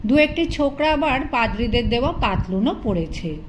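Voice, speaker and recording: A woman reading literary fiction aloud as an audiobook narrator, with measured, deliberate phrasing and pauses. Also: a little high, expressive. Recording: muffled, with faint background noise.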